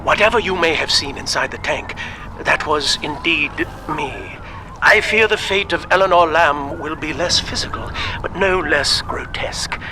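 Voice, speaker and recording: An actor playing a doomed scientist in a video game, speaking formally and politely with weary resignation. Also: male voice, on the high side, moderate pace, background noise, lightly acted. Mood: grave, resigned. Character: doomed male scientist, refined, weary, courteous, resigned to death. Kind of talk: recorded message in a video game.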